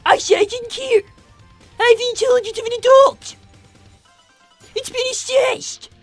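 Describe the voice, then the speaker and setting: Precocious five-year-old boy, played by a woman, piercingly high, pompous and dry, for a cartoon-style improvised gaming stream. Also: normal speed, dry recording, faint music behind. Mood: haughty. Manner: pompous, deadpan, formal wording beyond his age. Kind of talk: improvised in-character gaming livestream.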